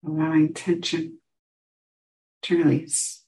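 A woman teaching a guided meditation, giving gentle instructions with long pauses between short phrases. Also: low-pitched, little pitch movement, poor audio.

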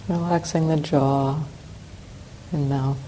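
A woman teaching a guided meditation, giving calm, unhurried instructions with long pauses between phrases. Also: sits low, rough recording. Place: meditation center hall. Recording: clear steady background noise.

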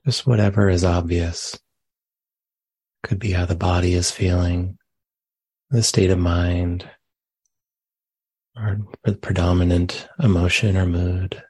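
A man leading a guided meditation as a teacher, speaking gently in short phrases with long, unhurried pauses. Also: deep voice, fairly even intonation, slightly rough, no echo. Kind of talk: guided meditation, spoken live.

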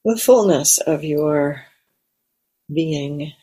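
A woman leading a guided meditation in a gentle, measured way, leaving long pauses. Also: low voice, breathy edge. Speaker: meditation teacher. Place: meditation center.

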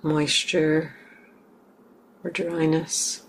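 Slow, low-voiced woman guiding meditation. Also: level tone. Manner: gentle, halting, long pauses between short phrases. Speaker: meditation teacher. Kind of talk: guided meditation, unscripted. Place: meditation center hall. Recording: clear background noise, room ambience.

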